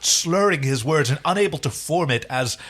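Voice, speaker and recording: A man with a fairly high voice hosts a casual recap podcast. He talks informally and leans on phrases by repeating them for stress. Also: lively intonation, normal speed, fairly bright tone. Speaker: podcast co-host. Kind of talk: casual episode recap chat.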